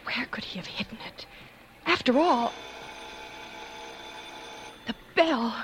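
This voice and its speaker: Scheming, cold-blooded wife, 1940s radio suspense. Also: female voice, a little high, expressive, slow pace. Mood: anxious, searching. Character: scheming, cold-blooded former actress poisoning her husband. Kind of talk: 1940s radio suspense acting, first-person confession. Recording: 1940s radio recording with music.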